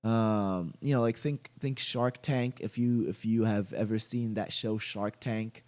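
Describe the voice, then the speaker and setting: A young man hosting a casual livestream about gaming, stuffy from allergies, talking haltingly with many fillers and restarts. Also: lowish voice, steady tone, darkish tone. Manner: greets chat viewers by name. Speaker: gaming channel host.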